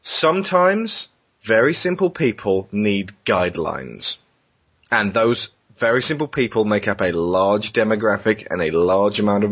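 A man's voice: on the low side, a bit bright, husky. A man hosting a casual panel show with wry, teasing banter. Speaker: host. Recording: dull, lo-fi voice recording.

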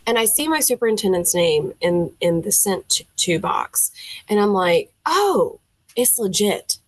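A woman's voice: mid-range voice, unhurried, dry recording. Southern woman telling her story. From Tennessee. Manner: animated, acts out her own quoted reactions; frequent fillers, thinks aloud. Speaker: music teacher, podcast guest. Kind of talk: casual storytelling interview.

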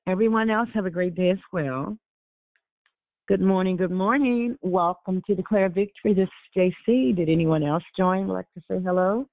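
A woman hosts a morning prayer conference call, repeating warm greetings to each caller as they join. Her slightly raspy voice comes through a dry, tinny phone line. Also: lowish voice, moderate pace, no echo. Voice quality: gets raspy. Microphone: telephone.

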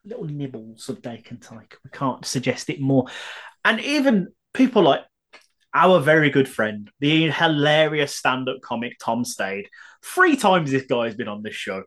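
A male podcast host talks in a casual, enthusiastic way and swears freely. His pitch moves a lot. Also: a bit bright. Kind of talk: casual chat.

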